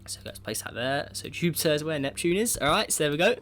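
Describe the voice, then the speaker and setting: A man's voice: on the high side, very animated, quick pace, fairly bright tone. A man with a cold and a sore throat, chatting casually as he comments on a space simulation game, thinking aloud and often starting with "right, so". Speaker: gaming video creator.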